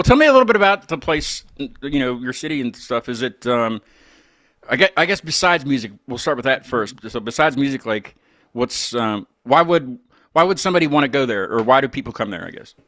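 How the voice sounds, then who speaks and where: A man with an American accent hosts a casual live interview. His pitch moves around in an animated way, and the sound is close and dry. He talks informally and tends to ramble. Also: moderate pace, pauses often, no room sound. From West Virginia, United States. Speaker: host of a live music interview show.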